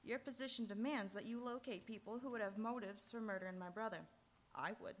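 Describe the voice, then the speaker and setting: A woman's voice: fairly high pitch, brisk, natural voice. A woman playing a brazen, bitter young noir suspect in a live radio drama, frank and coolly callous. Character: cool, bitter, brazen female murder-victim's sister.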